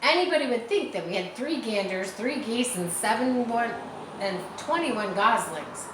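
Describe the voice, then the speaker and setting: A woman voicing a clever, patient female spider who runs a barnyard meeting in a crisp, slightly exasperated manner. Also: on the high side, animated, moderate pace, echoey room, noisy background, lightly acted. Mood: brisk.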